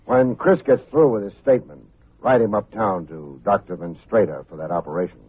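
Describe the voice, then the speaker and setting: An actor playing a tough, wry but good-hearted private detective in old-time radio style, with a hardboiled, wisecracking manner. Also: male voice, on the low side, brisk, a little hiss or hum, natural voice. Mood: firm. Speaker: lead actor and narrator. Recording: muffled old radio recording, music behind.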